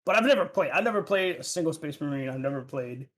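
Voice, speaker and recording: A man's voice: on the high side, expressive, fast. A man hosting a casual gaming podcast, talking loosely with lots of fillers, restarts and swearing. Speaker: podcast host.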